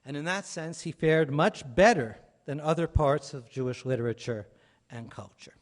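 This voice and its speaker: Higher-pitched man giving a measured lecture. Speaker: academic conference speaker. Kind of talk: prepared lecture.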